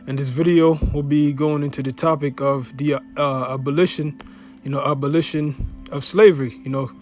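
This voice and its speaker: Male preacher, Haitian accent, somewhat high voice, chatty teaching delivery full of fillers. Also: fairly even intonation, moderate pace, soft background music. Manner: conversational, fills with "you know" and "you see". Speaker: religious teacher. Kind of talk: informal preaching video.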